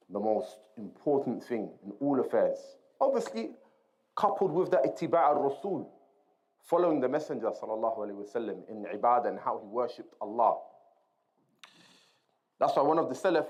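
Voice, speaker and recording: A man gives an Islamic lesson in a mid-pitched voice. He speaks as a teacher to students, moving between explanation and quoted Arabic terms. He repeats key phrases and checks that his listeners follow. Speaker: religious teacher.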